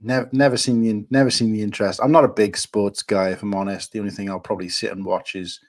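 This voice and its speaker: A man's voice: lowish voice, steady tone, fast. A man in his thirties with a Cardiff-area Welsh accent, chatting casually as a podcast guest, often hesitating and restarting. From Wales. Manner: hesitant, many ums and restarts. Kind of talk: casual chat.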